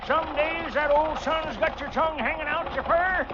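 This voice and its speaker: An actor playing a cantankerous old Western mule driver in a 1940s radio drama, folksy, grumbling and hollering in an American Western accent. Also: male voice, very high-pitched, fairly even intonation, normal speed. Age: older. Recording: noisy, dull vintage radio recording.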